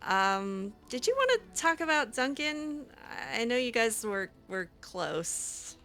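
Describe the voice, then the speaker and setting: Gaming roleplay host, woman, bright tone, hesitant and rambling, a bit snarky. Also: a little high, sing-song, slow, soft background music. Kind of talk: roleplaying a character in a video game.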